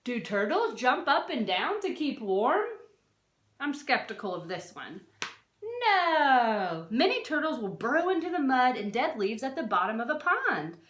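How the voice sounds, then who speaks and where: A woman with a high voice reads to children in a teacherly way, swooping widely in pitch. She poses questions, leans on key words and adds explanatory asides. The recording is noticeably echoey, as in a bare room. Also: unhurried. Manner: expressive, questioning, stresses and draws out key words. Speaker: teacher reading a non-fiction book aloud to children. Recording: roomy, echoey sound.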